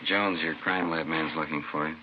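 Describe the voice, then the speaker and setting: An actor playing a gruff, matter-of-fact senior police officer in a vintage radio crime drama. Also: male voice, a bass voice, fairly even intonation, moderate pace. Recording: dull, very noisy old radio recording.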